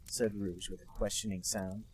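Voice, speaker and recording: A man co-hosting a podcast, reading a bad fan story aloud in a deadpan, mock-serious way. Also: lowish voice, moderate pace, a little hiss or hum. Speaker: podcast co-host reading a fanfic. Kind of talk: comic dramatic reading.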